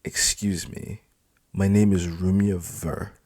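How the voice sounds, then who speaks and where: A man's voice: low voice, steady tone, takes it slowly, mellow, dry recording, studio quality. A man voicing a defiant young female job applicant in an audiobook, indignant, blunt and challenging. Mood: proud, indignant. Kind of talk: dramatised audiobook dialogue.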